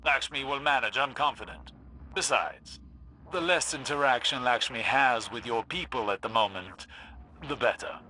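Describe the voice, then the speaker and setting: A brusque older scholar speaks in a very bright, forceful video game voice. He is guarded, assured and dismissive, over faint background noise. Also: mid-range voice, moderate pace, low-quality recording. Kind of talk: dramatic video game acting.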